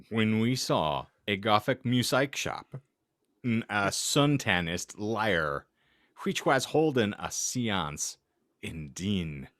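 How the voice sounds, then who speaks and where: A man hosting a podcast, wryly bantering and reading fan fiction aloud with halting, mock-serious delivery. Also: lowish voice, a bit bright, dry recording, studio quality. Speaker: podcast host.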